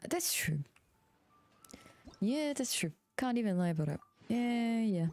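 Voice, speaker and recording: A woman's voice: a little high, very animated, measured pace, darkish tone, faint music behind. A woman chatting casually on a live game stream, informal and slangy with some swearing. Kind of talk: casual gaming stream chat with viewers.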